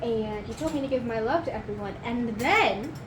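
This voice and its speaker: A woman's voice: on the high side, moderate pace, music in the background, close to an everyday voice. A woman playing a flustered, rambling young woman in improvised in-character roleplay. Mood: flustered, gossipy.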